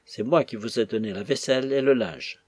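A man's voice: mid-range voice, a little fast, a little mellow, breathy edge, no room sound, close to an everyday voice. A man voicing a despairing, tearful older butler with a plaintive manner in an audiobook. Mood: reproachful.

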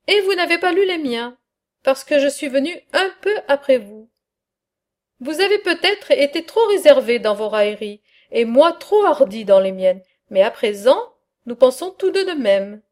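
A woman reading aloud the lines of a bold, mocking male satirist in a philosophical dialogue, in a measured, earnest way. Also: sits high, big pitch swings, darkish tone.

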